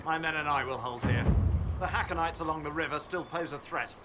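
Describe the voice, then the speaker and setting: An actor playing a gruff, dutiful male army lieutenant in a video game, reporting firmly in military fashion. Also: a little high, brisk, close to an everyday voice. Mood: firm, weary. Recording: game audio over noisy outdoor ambience.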